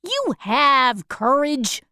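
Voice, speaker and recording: A woman voicing a timid old man who poses as a wizard, pleading and apologetic, read slowly in a storybook. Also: high voice, big pitch swings, sharp tone, no room sound, studio quality, voice pushed up, heavily acted. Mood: explaining. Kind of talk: slow storybook reading.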